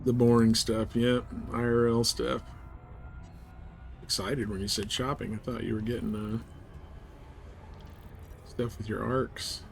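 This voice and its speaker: A man's voice: mid-range voice, level tone, measured pace, gravelly, pauses often, slight background noise. Casual man streaming a game. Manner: laid-back, informal, colloquial. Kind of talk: casual gameplay chat with stream viewers.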